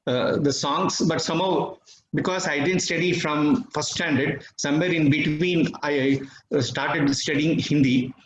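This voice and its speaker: A middle-aged man from Bangalore with an Indian English accent and Tamil as his mother tongue, giving a reflective, measured personal testimony with pauses. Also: a little high. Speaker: devotee sharing experiences. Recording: dull, slightly roomy recording with faint noise.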